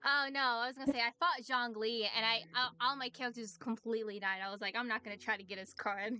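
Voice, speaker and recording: A woman's voice: on the high side, steady tone, fast, sharp tone, clear, resonant tone. A woman game streamer chatting casually as she thinks aloud through her moves and reacts with exclamations. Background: music.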